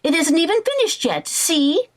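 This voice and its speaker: A woman playing an excitable, scheming housewife in a theatrical old-time radio comedy style. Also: extremely high pitch, normal speed. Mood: defensive. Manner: theatrical, exclaiming. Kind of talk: 1940s radio comedy acting.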